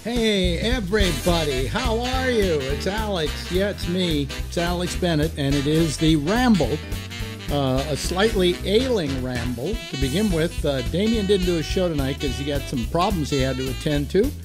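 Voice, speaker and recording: Older American man, animated rambling host. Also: a little high, moderate pace, over music. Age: 70s. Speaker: talk show host. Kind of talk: rambling casual chat. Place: home room lined with disc shelves. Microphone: desk microphone.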